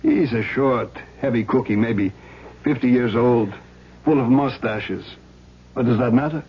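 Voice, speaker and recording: An actor playing a wary, streetwise ex-crook mortician with a colloquial, grudging manner in a 1970s radio drama. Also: male voice, mid-range voice, unhurried, slightly rough. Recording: dull, humming, noisy old radio recording.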